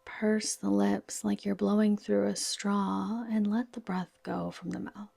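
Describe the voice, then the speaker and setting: A woman with a soft, whispery voice gently guiding a yoga nidra relaxation in a soothing, unhurried manner. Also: mid-range voice, little pitch movement, gravelly, no echo. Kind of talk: guided relaxation meditation.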